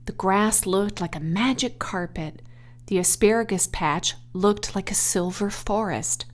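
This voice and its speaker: A woman reading a children's audiobook aloud as an expressive storyteller. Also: medium pitch, unhurried. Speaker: audiobook reader.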